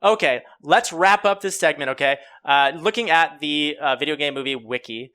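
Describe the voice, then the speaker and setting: A man's voice: on the high side, normal speed, pushed and bright. A man hosting a podcast, chatting informally as he steers a panel discussion. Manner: informal, sometimes swearing. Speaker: podcast host. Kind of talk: casual panel podcast chat.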